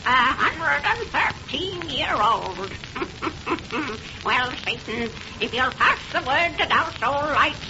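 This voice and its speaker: A woman's voice: high-pitched, animated, slow, highs cut off, full character voice. A woman playing a cackling 113-year-old witch, telling eerie tales with folksy relish in 1930s radio horror style. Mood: gleeful. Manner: folksy, rustic, relishing the gloom. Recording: noisy, band-limited 1930s radio recording.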